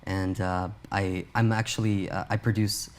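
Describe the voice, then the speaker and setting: A man's voice: low-pitched, little pitch movement, moderate pace. A young man born in Pakistan, an a cappella singer and producer, answering interview questions in a hesitant way with many fillers and restarts.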